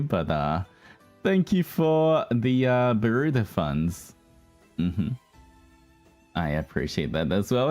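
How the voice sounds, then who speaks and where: A man with a fairly low voice hosts a casual livestream. He rambles along informally, talking straight to his audience as a group. Music plays behind him. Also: a little mellow. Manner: rambling, addresses audience as guys. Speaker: livestream host, virtual streamer. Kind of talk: casual livestream chat with viewers. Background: background music.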